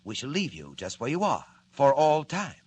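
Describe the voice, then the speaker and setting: An actor playing a smug, menacing gang boss in a 1940s radio serial, taunting with mock courtesy in articulate speech. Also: male voice, mid-range voice, huge pitch swings, normal speed, darkish tone, breathy edge. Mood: coldly gloating. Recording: old radio recording with hiss and hum.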